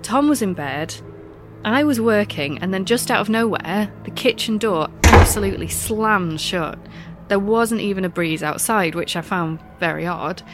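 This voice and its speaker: A woman's voice: medium pitch, expressive, moderate pace, over music. A woman hosts her podcast, telling stories in a wry, self-mocking way, her nose stuffed up from a recent illness. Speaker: podcast host. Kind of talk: scripted podcast monologue.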